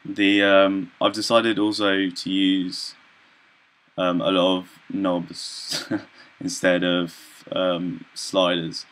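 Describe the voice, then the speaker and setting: A young man giving an informal software demonstration as a student presenter, hesitant and halting, with frequent ums and throat clearing. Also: deep voice, flat delivery. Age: young adult.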